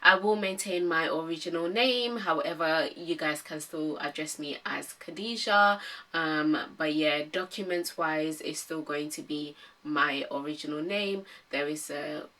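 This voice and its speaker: A young woman from Ghana answers viewer questions in a relaxed vlog. Her voice sits low but has a bright, forward edge, and she talks calmly, filling gaps with ums. She is a little distant from the mic. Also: a little slow. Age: 20s. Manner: calm, many ums and hesitant restarts. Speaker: vlogger. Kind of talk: casual Q&A vlog.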